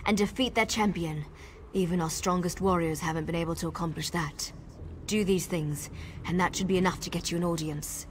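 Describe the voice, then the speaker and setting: An actress playing a cautious, determined female wood elf scout in video game dialogue, speaking in a measured, earnest way. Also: on the low side, steady tone, lightly acted. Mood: earnest, instructive. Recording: game dialogue over clear ambient noise.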